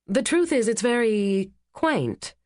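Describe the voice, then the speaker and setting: A woman voicing a careful, polite widow, speaking higher than her narration, in a dry close recording. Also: sing-song, moderate pace, no room sound.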